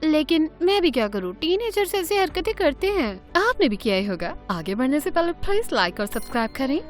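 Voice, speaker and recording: A woman narrating an animated story in first person in an expressive, chatty way. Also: high-pitched.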